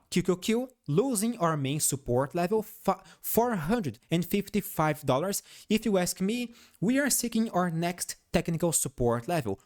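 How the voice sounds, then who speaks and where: Animated high-pitched man with non-native accent. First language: not English. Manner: emphatic, repeats phrases, frequent "all right?" check-ins. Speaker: stock market analyst. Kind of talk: technical analysis commentary over charts.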